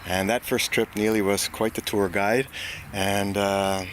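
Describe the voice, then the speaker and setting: A middle-aged man with a fairly low voice talks in a relaxed, unhurried way, pausing often with fillers. He is being interviewed outdoors in a home garden. A faint hiss sits under the recording. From Canada. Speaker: interviewee, bed and breakfast owner. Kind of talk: casual interview answers.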